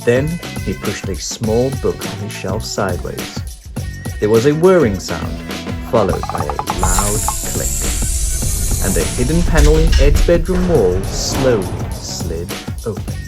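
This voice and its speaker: A man reading a children's picture book aloud, narrating in an animated, expressive way. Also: fairly low pitch, unhurried, over loud music. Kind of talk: read-aloud storytelling.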